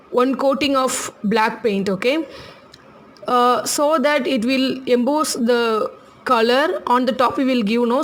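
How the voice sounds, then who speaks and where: Chatty Tamil-accented woman teaching crafts. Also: on the high side. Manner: chatty, laughs often, repeats instructions slowly. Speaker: craft tutorial host.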